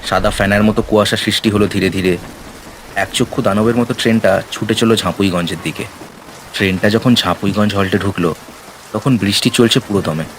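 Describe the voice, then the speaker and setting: Low-voiced man narrating a spooky story. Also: steady tone, a little fast, a little hiss or hum, rough recording. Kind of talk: scripted horror story narration. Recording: rain and ambience behind the voice. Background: rain sound effects.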